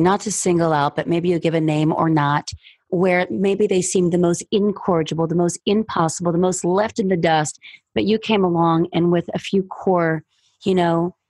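A woman interviewing a guest, affirming his answers warmly and asking probing follow-up questions. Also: lowish voice. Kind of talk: interview conversation.

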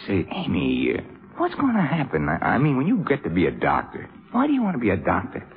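An actor playing an earnest, awkward American jazz musician, plain-spoken and halting, in 1950s radio drama style. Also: male voice, fairly low pitch, huge pitch swings. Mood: shy, awkward. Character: earnest, awkward, lovestruck male jazz trumpet player. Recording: tinny, narrow old radio broadcast.

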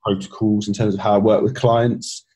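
A man hosting a fitness podcast, chatting in a friendly, halting way with plenty of fillers. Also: on the low side, level tone, warm tone. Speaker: podcast host and fitness coach. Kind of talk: casual podcast interview.

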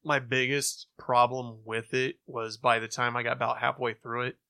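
A man's voice: mid-range voice, level tone, normal speed, vocal fry, bright, forceful tone. A middle-aged man chatting casually as a podcast co-host. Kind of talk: casual chat.

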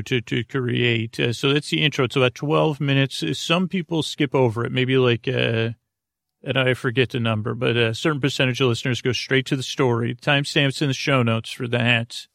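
Male bedtime podcast host, mid-pitched with heavy vocal fry, rambling, trailing off, gently unhurried. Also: no echo, clean studio sound. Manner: meandering, halting, repeats and trails off.

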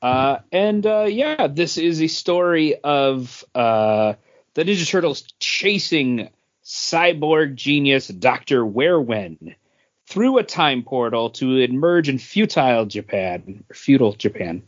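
A man's voice: medium pitch, lively intonation, takes it slowly. A middle-aged man co-hosting a comics podcast in casual chat, hesitant and full of filler words. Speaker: podcast co-host. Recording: dull lo-fi audio.

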